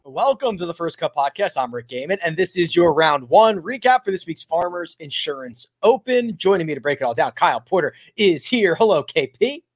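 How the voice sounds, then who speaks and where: Lively, high-spirited male podcast host. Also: brisk, hardly any pauses, soft background music. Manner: animated, jokey, some restarts. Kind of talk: casual sports podcast chat.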